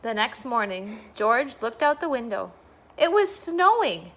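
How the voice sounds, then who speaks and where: A woman's voice: on the high side, sing-song, a little slow, fairly bright tone. A woman, a school staff member, reading a bedtime storybook aloud for children.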